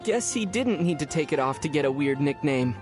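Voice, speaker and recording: A woman playing a young male traveler hero in a video game, calm, dry and gently teasing. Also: sits low, fairly quick, music in the background. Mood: dry, curious.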